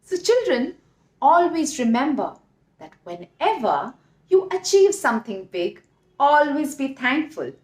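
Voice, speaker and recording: A woman storyteller with a very high, highly animated voice narrates for children. She stretches words dramatically and takes her time, in a room with noticeable echo. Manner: dramatic, drawn-out emphasis. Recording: echoey room.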